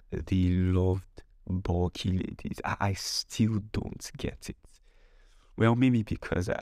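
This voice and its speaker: Deep, gravelly male podcast host. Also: a little mellow, no room sound, studio quality. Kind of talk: casual humorous storytelling podcast monologue.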